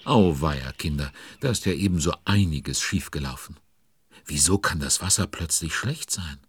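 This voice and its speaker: Avuncular storyteller, extremely low voice, husky, exclaiming dramatic narration for children. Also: male voice, lively intonation, moderate pace, no echo, studio quality, full character voice. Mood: confiding. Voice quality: very deep, husky. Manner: addresses young listeners with exclamations and rhetorical questions.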